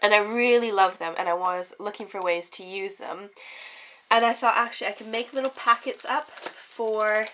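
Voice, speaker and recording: A woman's voice: mid-range voice, moderate pace, pushed and bright, slight background noise. A young woman with a stuffy head cold, chatting casually and giggling through a craft show-and-tell at home. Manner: chatty, giggly, lots of ums. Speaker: craft vlogger.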